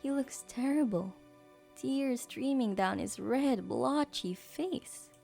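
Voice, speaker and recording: A woman's voice: high-pitched, takes it slowly, vocal fry, dark tone, soft background music. A woman narrating a scripted audio drama as its storyteller.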